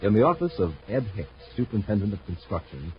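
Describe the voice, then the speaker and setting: An American man performing as a dramatic announcer, narrating a vintage radio space adventure serial with deliberate delivery. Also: lowish voice, sing-song. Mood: dramatic, scene-setting. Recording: muffled, hissy vintage radio recording.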